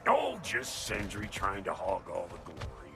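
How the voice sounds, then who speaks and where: A man voicing a brash, cantankerous dwarf blacksmith in a video game, crude and blustering in a gravelly voice. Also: sits low, wildly swooping pitch, measured pace, music in the background, full character voice. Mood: cocky, grumbling. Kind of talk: animated video game acting.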